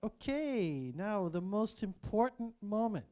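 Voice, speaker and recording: Ceremony host, polite announcing, mellow warm voice. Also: mid-range voice, very animated, slow.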